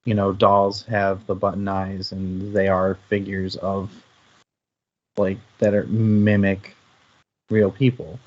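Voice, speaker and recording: A man with a fairly low voice talks through ideas in a casual, analytical way. He sounds like a panelist in an informal discussion. The recording is a little dull and lo-fi. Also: monotone, slow pace, a little mellow.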